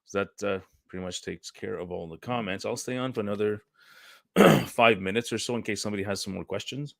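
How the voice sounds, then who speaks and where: A hoarse man with a lowish voice hosts a live stream, chatting casually to viewers. He rambles and clears his throat often. The sound is dry and close. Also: fairly quick, no echo. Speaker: host.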